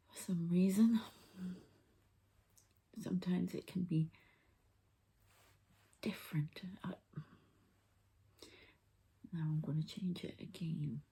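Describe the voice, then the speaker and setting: A woman whispering gently and breathily as she hosts an unhurried, hushed ASMR energy-healing session. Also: lowish voice, creaky, slightly rough. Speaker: ASMR host and energy healer.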